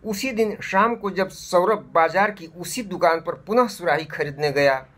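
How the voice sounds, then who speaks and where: A man reading a scripted moral story for children in a measured, deliberate way. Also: high voice, a bit bright.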